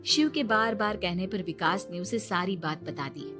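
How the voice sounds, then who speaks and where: A woman's voice: mid-range voice, moderate pace, music in the background. A woman narrating a scripted cartoon story.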